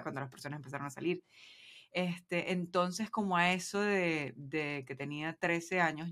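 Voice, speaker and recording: A woman's voice: deep voice, fairly bright tone. Chatty Venezuelan woman, podcast guest. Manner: chatty, mixes English words, checks in with "¿sí me explico?". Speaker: guest entrepreneur. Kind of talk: casual podcast interview.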